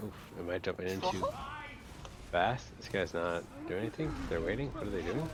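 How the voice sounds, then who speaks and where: Very low-voiced man streaming a game. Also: animated, a little fast. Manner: mutters and swears at his own play. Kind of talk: casual chat while playing a shooter game.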